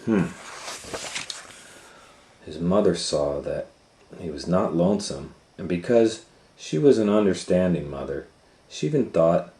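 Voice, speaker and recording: A man's voice: deep voice, lively intonation, unhurried, long pauses, mellow. A man reading a children's story aloud in a conversational way, explaining things and putting questions to his listeners as he goes. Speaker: storytime reader and educator. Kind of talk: animated children's read-aloud with asides. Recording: echoey room, faint noise.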